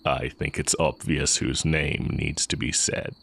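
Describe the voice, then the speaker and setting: Man acting as very deep-voiced sly dark magic teacher. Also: flat delivery, creaky, slight background noise, full character voice. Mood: tender, confessional. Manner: measured, deliberate, teasing delivery with drawn-out pauses. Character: evil heretic male magic teacher, sly and secretly lovelorn. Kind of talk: romantic audio roleplay acting.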